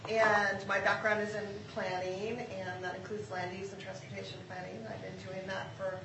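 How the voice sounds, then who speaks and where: Loud, friendly planner woman in an echoey room. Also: medium pitch, little pitch movement, normal speed, gravelly. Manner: loud, friendly, self-deprecating. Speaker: project manager and planner. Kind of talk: public meeting presentation. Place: meeting room. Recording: echoey room, distant mic, background noise.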